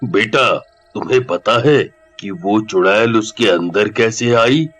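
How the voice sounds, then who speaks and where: A man's voice: on the low side, slow pace, fairly bright tone, faint music behind. A man playing an older village holy man in a cartoon story, speaking in a grave, exasperated way.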